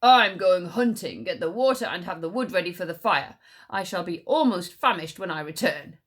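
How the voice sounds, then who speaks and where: A woman voicing a large, fierce, possessive tiger in a storybook tale, with slow, emphatic delivery. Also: lowish voice, expressive. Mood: commanding.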